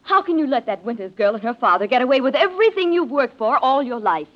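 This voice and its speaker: A resentful, calculating niece in a vintage radio drama. Her lines move with wide, expressive intonation. The sound is dull, like an old broadcast. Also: high-pitched, fast talker. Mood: indignant. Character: scheming, resentful niece, sleek and bitter schemer. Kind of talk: 1940s radio drama acting. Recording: muffled old-time radio recording.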